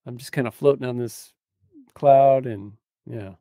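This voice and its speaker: A middle-aged man with a noticeable fry in his voice co-hosts a podcast. He talks casually and colloquially, close to the mic, with a very dry sound. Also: medium pitch, measured pace, warm tone, dry recording. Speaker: podcast co-host. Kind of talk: casual chat. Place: small cluttered home room. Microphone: close studio mic on boom arm.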